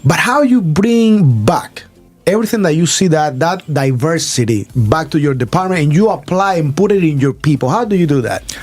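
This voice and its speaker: A man's voice: on the high side, expressive, moderate pace, a little mellow, faint music behind. A man hosting a radio interview who switches between English and Spanish. He talks in a rambling way, with many stammered restarts. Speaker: radio show host.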